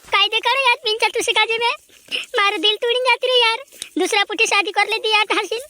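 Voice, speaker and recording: A performer voicing a heartbroken, melodramatic cartoon lover in a squeaky, whining voice. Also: fairly even intonation, brisk, bright, forceful tone. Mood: wounded, pleading. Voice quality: squeaky, artificially high voice. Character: heartbroken cartoon lover wailing over betrayal, squeaky and dramatic. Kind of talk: amateur comedy cartoon dub.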